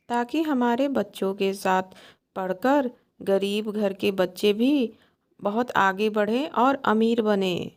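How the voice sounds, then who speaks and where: A woman voicing a kind, hopeful young village mother in a cartoon story, speaking gently. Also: medium pitch, vocal fry. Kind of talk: cartoon bedtime story acting.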